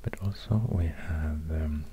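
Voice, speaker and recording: A man with a Swedish accent, a native Swedish speaker, speaking soft ASMR narration in a halting, musing, breathy near-whisper. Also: very deep voice. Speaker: ASMR video creator. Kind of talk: soft-spoken ASMR narration while drawing. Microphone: close binaural microphone. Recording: audible noise, soft clicks.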